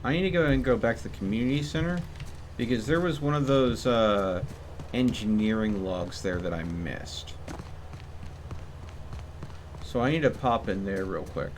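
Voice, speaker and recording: A man's voice: fairly low pitch, moderate pace, slight background noise. A man giving casual live commentary as he streams a game, musing to himself as he goes.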